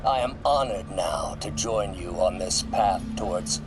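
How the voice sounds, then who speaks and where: A man voicing a solemn, grandiose older scientist supervillain, delivering a theatrical recorded monologue with breathy pauses in a game. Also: low-pitched, music in the background, poor audio. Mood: reverent, menacing.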